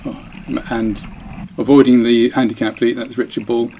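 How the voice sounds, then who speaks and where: A man talks over footage of his own sailing race in a chatty, self-deprecating way, often breaking off mid-phrase and starting again. His voice sits at an ordinary middle pitch. Faint boat and water noise runs underneath. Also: little pitch movement, moderate pace, warm tone, low-quality recording. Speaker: dinghy racing sailor.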